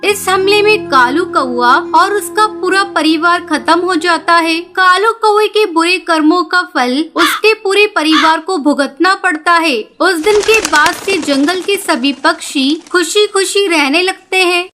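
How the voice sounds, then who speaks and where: A woman's voice: very high-pitched, unhurried, hardly any pauses, over music. A woman narrator reading a scripted children's cartoon story.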